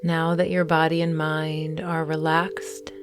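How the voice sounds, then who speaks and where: A woman guiding a mindfulness meditation in a calm, soothing, measured way, leaving long pauses between phrases. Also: low-pitched, noisy background. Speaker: meditation guide. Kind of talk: guided mindfulness meditation narration.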